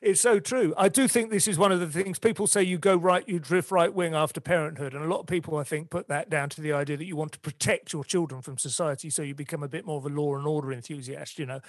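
A man's voice: on the high side, quick pace, few pauses, no room sound. A man co-hosting a podcast in casual chat, wry, articulate and opinionated. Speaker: guest co-host.

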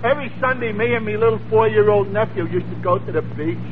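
An actor playing a dim-witted, good-natured Brooklyn man, slow on the uptake and hesitant, in a 1940s radio comedy. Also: high-pitched, lightly acted. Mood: earnest, dopey. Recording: tinny, noisy 1940s radio recording.

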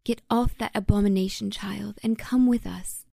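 A man's voice: high voice, steady tone, normal speed, creaky, darkish tone, dry recording, studio quality, pitched above the performer's own voice, exaggerated voice. A man voicing a stern, protective old woman, a priestess who urges a frightened young man to come with her. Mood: urgent. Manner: firm, urging. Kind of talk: audiobook character voice.

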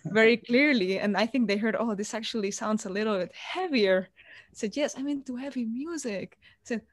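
A woman's voice: a little high, normal speed, dry recording, clean studio sound. Woman guest on a video call. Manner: chatty, hesitant restarts, warm about family. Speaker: guitarist guest. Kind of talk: casual interview over video call. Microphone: computer on video call.

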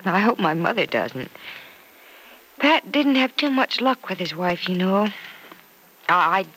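An actress playing a warm, earnest young woman in an old radio soap opera, speaking with sighs, hesitations and small exclamations. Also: fairly low pitch, big pitch swings, measured pace, heavily acted. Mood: hesitant, concerned. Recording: noisy vintage radio drama recording.

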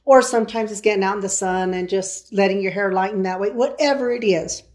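A woman's voice: medium pitch, brisk, a little mellow. A woman hosting an interview, chatty and encouraging, often affirming her guest. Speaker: show host. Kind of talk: interview chat.

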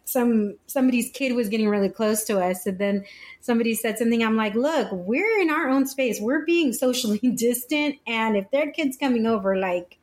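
A woman in her forties with a loud voice, co-hosting a casual chat and telling animated stories. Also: on the high side, moderate pace. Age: 40s. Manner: chatty, animated storytelling. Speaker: podcast co-host.

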